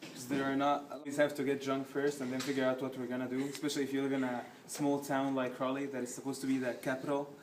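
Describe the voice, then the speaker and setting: Young man from Egypt, student interviewee, flat monotone, echoey room, noisy background. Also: medium pitch, fast talker. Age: young adult. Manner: hesitant, many fillers. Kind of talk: casual interview answer.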